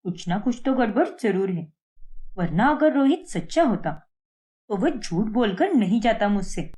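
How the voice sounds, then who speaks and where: A woman's voice: mid-range voice, expressive, unhurried, mellow, natural voice. A woman voicing a suspicious young wife in a cartoon story, complaining and sarcastic. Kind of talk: Hindi cartoon moral-story voice acting.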